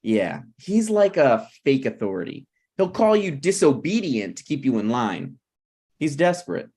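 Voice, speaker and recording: A man's voice: medium pitch, sing-song, a little slow, a little mellow, husky, full character voice. A man playing an awkward, meddling friend in a sitcom table read, hesitant and apologetic yet blunt. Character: awkward, opinionated, meddling male friend of a married couple. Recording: online video call table read.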